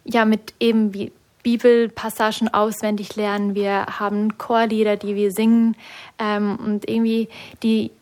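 Hesitant young Swiss woman, flat tone. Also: mid-range voice, unhurried. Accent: Swiss German. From Switzerland. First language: Swiss German. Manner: hesitant, many fillers, nervous. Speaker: guest, discipleship school student. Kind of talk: radio interview answers.

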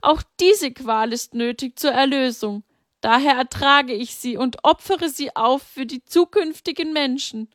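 A woman's voice: unhurried, no room sound, clean studio sound. A woman voicing Mary, a grieving, devout mother who pleads in a raised, anguished voice. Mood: resolute. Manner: pleading, anguished, high-pitched.

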